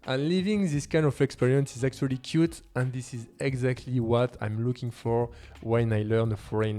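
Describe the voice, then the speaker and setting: A man's voice: medium pitch, mellow. Male vlog host, native French speaker with French accent, mid-range voice, casual non-native phrasing, light music underneath. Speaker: language-learning video host. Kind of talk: casual talk to camera.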